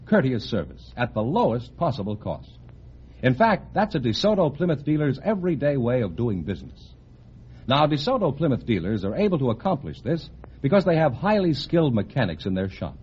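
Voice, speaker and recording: An American man delivers an old-time radio commercial in a husky voice. His intonation swings widely and emphatically, and the recording sounds dull and dated. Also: mid-range voice. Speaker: commercial announcer. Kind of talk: scripted 1950s radio advertisement.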